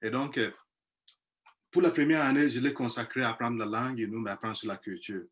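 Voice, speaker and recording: Man, mid pitch, non-native French speaker, halting testimony on a video call. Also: steady tone, fairly bright tone. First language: not French; speaks five languages. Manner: hesitant, many restarts and repeated words. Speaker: missionary priest, panel guest. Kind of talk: personal testimony in a webinar.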